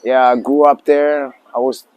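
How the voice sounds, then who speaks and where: A man in his forties from Tanzania, a lodge owner speaking as an interview guest in a relaxed, halting way with many fillers and restarts. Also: mid-range voice, smooth. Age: 40s. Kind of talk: casual interview talk.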